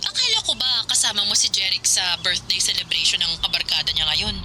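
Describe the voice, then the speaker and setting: An actress playing a nosy, teasing close friend with a Filipino accent in a radio drama. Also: female voice, mid-range voice, animated, a little fast, background noise, natural voice. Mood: curious, teasing. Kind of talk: Tagalog radio drama acting.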